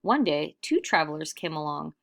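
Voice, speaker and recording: A woman reading a children's story aloud in expressive, scripted narration. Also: low-pitched, moderate pace.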